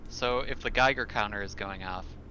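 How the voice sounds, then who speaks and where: A man's voice: fairly low pitch, normal speed, bright, forceful tone, dull audio, a little hiss or hum. A man chatting casually as a player in a tabletop roleplaying game, hesitant and questioning.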